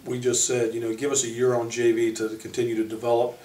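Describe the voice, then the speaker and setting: An American man speaking as a basketball coach, informally introducing his team in halting short phrases. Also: medium pitch, monotone, mellow, slight background noise. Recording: echoey room, distant microphone.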